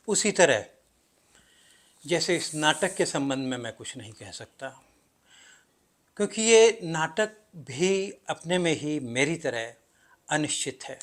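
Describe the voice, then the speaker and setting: A man acting an enigmatic stage everyman, higher-pitched. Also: a bit bright. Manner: deliberate, dramatic pauses and emphatic swings. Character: enigmatic, self-doubting everyman narrator of a stage play. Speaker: actor performing a play monologue. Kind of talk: theatrical stage monologue, addressing the audience directly.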